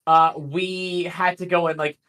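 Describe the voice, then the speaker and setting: Casual livestream guest: enthusiastic, rambling man with a fairly high-pitched voice. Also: level tone, a little slow, bright, forceful tone.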